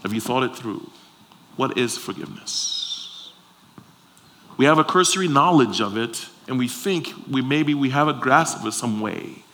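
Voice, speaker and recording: A man's voice: medium pitch, expressive, unhurried, bright, forceful tone. A man from Liberia in West Africa preaching a sermon to a congregation, emphatic, repeating phrases and posing rhetorical questions. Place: live before a congregation. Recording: clear background noise, live room ambience.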